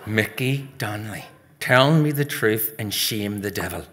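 A man's voice: on the low side, lively intonation, unhurried, a bit bright. Man playing a stern Northern Irish mammy. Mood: scolding. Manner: scolding, commanding. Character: stern, no-nonsense Northern Irish mother, weary but caring.

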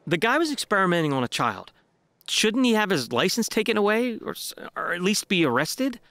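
Man acting as troubled conscientious man, high voice, swinging intonation, stammering, audio drama. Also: big pitch swings, fairly quick, fairly bright tone, dry recording, full character voice. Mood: indignant. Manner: hesitant, stammering.

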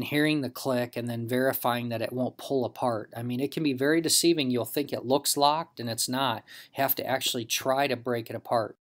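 Bright-voiced American man giving a tutorial. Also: medium pitch. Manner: conversational, instructive, with check-in questions. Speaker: mechanic instructor. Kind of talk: informal hands-on tutorial. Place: home garage workshop.

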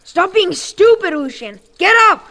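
A woman's voice: extremely high pitch, expressive, a little slow, heavy background noise, exaggerated voice. A woman playing a stubborn, earnest young boy in a post-apocalyptic audio drama, urgent and blunt. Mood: urgent, insistent.

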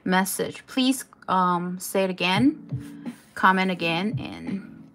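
A woman's voice: fairly low pitch, takes it slowly, music in the background. A woman from Taiwan, a native Chinese speaker with a Taiwanese accent, hosting a casual live crochet tutorial with informal step-by-step instructions. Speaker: craft tutorial host.

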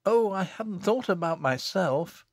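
A cheerful young village woman from an audiobook tale, played by a man. The voice is lifted high and light, with lively melody, and sounds eager, kindly and a little dreamy. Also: normal speed, no room sound, clean studio sound.

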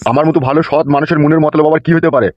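A man's voice: fairly high pitch, fairly even intonation, racing, dry recording, close to an everyday voice. A man voicing a sly, lecherous village lover in a comedy cartoon, wheedling and blustering, in lo-fi sound. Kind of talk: amateur comedy cartoon dialogue. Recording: dull lo-fi sound with music.

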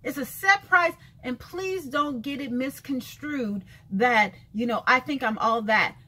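A 51-year-old woman vlogging a sassy, emphatic rant from a bright room with books, repeating lines for effect and calling listeners baby and honey, gesturing as she talks. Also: high-pitched, a little slow, breathy edge. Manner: lively hand gestures while talking. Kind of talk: casual talking-head rant. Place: bright room with shelves of books by a window, indoors.

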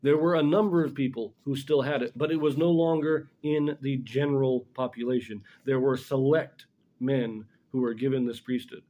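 A middle-aged man with a soft-edged, mellow voice at an ordinary male pitch. He teaches scripture informally, reading and commenting in a deliberate, explanatory way, sometimes correcting his own wording. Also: steady tone. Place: small indoor room in front of a blackboard.

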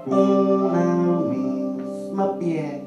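A man's voice: high voice, drawn-out words, recorded from a distance, dull audio. A man performing spoken and sung poetry to guitar on a stage. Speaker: singer and guitarist. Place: dark theatre stage, indoors. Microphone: stand microphone. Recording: very echoey theatre, from afar. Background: guitar music.

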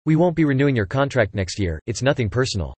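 A man reads English example sentences one after another at a brisk clip, evenly and without flourish. His voice sits at a middle pitch, and the sound is completely dry with no room around it. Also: husky, no room sound. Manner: even, clipped reading at high speed. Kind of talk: scripted sentence reading for English listening practice.

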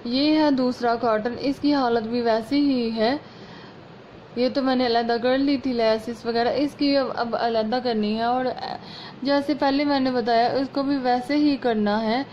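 A woman with a sore, slightly hoarse throat, chattily explaining a home sewing repair step by step. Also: a little high, fairly even intonation, unhurried. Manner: chatty, homely, explaining as she works. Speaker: sewing channel host. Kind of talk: casual step-by-step sewing tutorial. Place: at home over a work table. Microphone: phone camera over hands. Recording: muffled lo-fi phone audio, faint room noise.

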